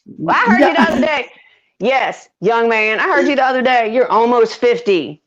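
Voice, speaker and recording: A woman's voice: fairly high pitch, animated, moderate pace. A woman in her fifties hosting a casual live video chat, laughing often and talking in a folksy way. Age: 50s. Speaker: live stream host. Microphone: video call. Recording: dull lo-fi video call audio.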